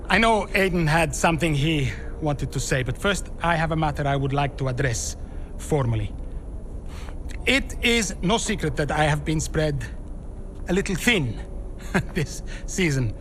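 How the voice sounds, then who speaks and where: A man's voice: fairly high pitch, normal speed, pauses often, fairly bright tone, a little hiss or hum, close to an everyday voice. An actor playing a flamboyant, commanding male race team owner who speaks formally and teasingly to his staff in a game cutscene. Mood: showy.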